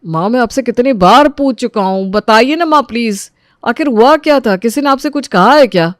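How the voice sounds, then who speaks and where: A woman's voice: fairly high pitch, lively intonation, normal speed, dry recording, pitched above the performer's own voice, natural voice. A woman reads as a caring young man in a family drama. The voice is earnest and soothing with a worried edge, in a dry recording. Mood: concerned. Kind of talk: Hindi family audio drama acting.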